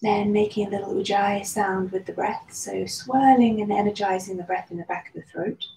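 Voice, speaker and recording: A woman leading a guided meditation, speaking slowly and soothingly with long pauses. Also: medium pitch, fairly even intonation, gravelly, mellow. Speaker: meditation and yoga teacher. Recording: roomy echo with faint background noise.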